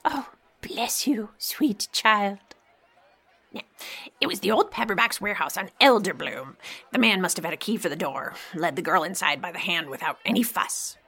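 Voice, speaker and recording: A woman playing a sly old beggar widow in a fantasy audio drama, raspy and creaky, wheedling and sarcastic in a theatrical, folksy way. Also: medium pitch, lively intonation, normal speed, bright, forceful tone, full character voice. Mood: sly, pitiful. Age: older. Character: sly old beggar widow, mock-pitiful, salty-tongued.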